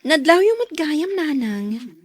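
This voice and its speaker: A woman's voice: high-pitched, very animated, slow pace, exaggerated voice. A woman acting an affectionate, thrifty young wife who is easily surprised, in an Ilocano-accented radio drama. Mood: polite, questioning.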